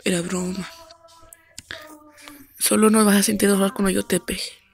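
A woman voicing a possessive male owner who can turn back time, talking in a low, breathy, coaxing whisper. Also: flat delivery, moderate pace, a little hiss or hum, natural voice. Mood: teasing.

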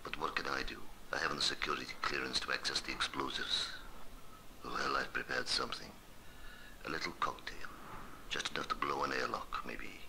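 An actor playing a weary, uneasy scientist on a Mars base, brooding in a hushed, gravelly voice for a horror game audio diary. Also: male voice, little pitch movement, fairly quick, heavily acted. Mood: brooding, conspiratorial. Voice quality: very deep, gravelly. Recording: noisy game audio log.

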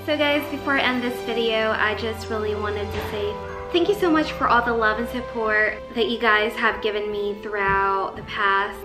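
A woman's voice: a little high, reverberant. A woman in her thirties from the Philippines, with Tagalog as her first language, chatting casually to the camera in her living room and gesturing as she talks. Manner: chatty, expressive hand gestures. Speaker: lifestyle vlogger. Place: living room with Christmas tree.

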